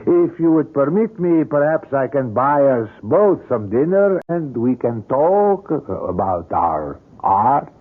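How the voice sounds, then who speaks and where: A man's voice: medium pitch, very animated, takes it slowly, few pauses, breathy edge, exaggerated voice. An actor playing an older, courteous and obsessive male sculptor in a radio drama, with formal, florid and precise phrasing. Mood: courteous, persuasive. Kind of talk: old-time radio drama acting. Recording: muffled vintage radio drama recording.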